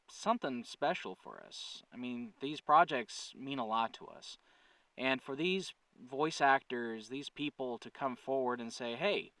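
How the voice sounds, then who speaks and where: A man's voice: medium pitch, a bit bright, dry recording, far from the mic. A man speaking as a channel host in a casual, earnest update to viewers, thinking aloud and correcting himself as he goes. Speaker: channel creator and host, writer-producer.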